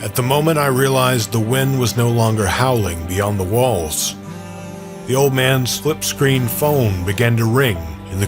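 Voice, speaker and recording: A man narrating a scripted first-person audio drama as its storyteller. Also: fairly low pitch. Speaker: narrator and performer. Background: original music score.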